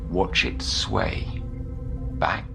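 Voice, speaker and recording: A man playing a solemn, insistent counsellor in an audio drama, speaking formally and deliberately as he coaxes like a hypnotist. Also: music in the background. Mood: hypnotic, coaxing.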